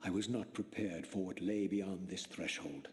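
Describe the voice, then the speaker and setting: An actor playing a bitter, eloquent undead vampire, narrating a video game with grave, measured, theatrical diction. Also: male voice, low voice, natural voice. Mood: brooding, grave. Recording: reverberant hall, faint noise.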